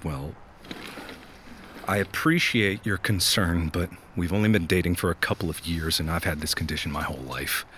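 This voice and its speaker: A man's voice: deep voice, moderate pace, bright, forceful tone, slight background noise. An actor playing a heartbroken, awkward night-shift worker in his twenties, downcast and hesitant, in a naturalistic audio drama. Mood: hurt, pleading. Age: 20s.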